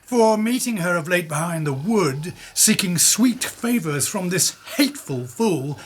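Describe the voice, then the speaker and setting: A man playing a stately, scheming fairy king, speaking deliberate verse in a Shakespearean radio play. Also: high-pitched, animated, a little hiss or hum. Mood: gloating, pitying.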